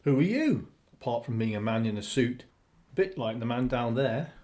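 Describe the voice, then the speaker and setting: An actor in a radio play playing a plucky, literal-minded, polite man with an earnest, clipped, deadpan delivery. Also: medium pitch, moderate pace, lightly acted. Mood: curious, wary.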